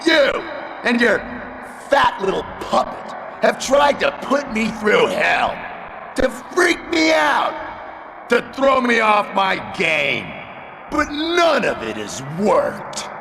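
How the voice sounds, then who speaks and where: A man playing a hot-tempered wrestling challenger in a video game, gravelly and confrontational, with emphatic phrasing. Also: very high-pitched, sing-song, slow, shouting, full character voice. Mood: angry, defiant. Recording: noisy game capture.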